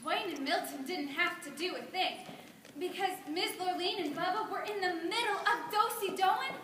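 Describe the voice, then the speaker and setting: Very high-voiced woman narrating a stage story. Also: normal speed, sharp tone. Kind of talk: scripted storybook narration in reader's theater. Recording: echoey room, distant microphone, background noise.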